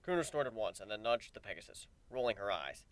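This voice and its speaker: A man's voice: fairly low pitch, very animated, brisk, dry recording. A man narrating a story as a scripted dramatic reading.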